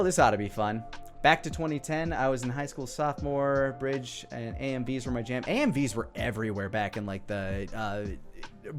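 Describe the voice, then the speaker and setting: Lively man giving casual commentary. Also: mid-range voice. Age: 30s. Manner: rambling, self-deprecating, joking asides. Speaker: host commenting on own abridged anime series.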